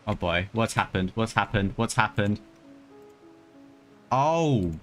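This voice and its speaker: Low-pitched man streaming a game, thinking aloud. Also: expressive, takes it slowly. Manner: hesitant, thinking aloud, repeats himself. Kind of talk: casual livestream commentary. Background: game music.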